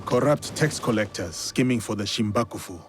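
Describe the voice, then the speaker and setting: Man as stoic samurai warrior, lowish pitch, terse, solemn, game cutscene, prominent music. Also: fairly quick, close to an everyday voice. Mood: steady. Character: foreign-born samurai warrior, stoic, loyal and grave. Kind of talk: video game cutscene acting. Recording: loud music behind the voice.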